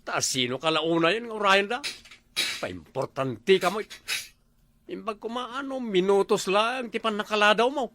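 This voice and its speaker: A man's voice: high voice, expressive, moderate pace, background noise. A man with an Ilocano accent playing a nagging, easily embarrassed village man in a comedy drama. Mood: reproachful. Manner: nagging, reproachful.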